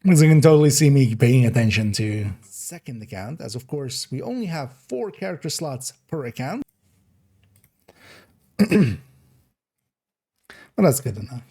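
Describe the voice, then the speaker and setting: A man with a hoarse, raspy throat chats through a game stream in a loose, rambling way, laughing at his own slips and starting over. His pitch lifts and drops a lot as he talks. The voice sits in a middle register for a man. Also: expressive, unhurried, long pauses, warm tone. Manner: rambling, self-correcting, laughs at himself. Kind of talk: casual gaming stream chat.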